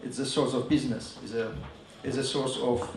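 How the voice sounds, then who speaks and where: A man with a Polish accent giving a conference talk on advertising, halting and full of fillers and restarts. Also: mid-range voice, fairly even intonation, slight background noise. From Poland. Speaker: advertising agency speaker. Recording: echoey room from a distance.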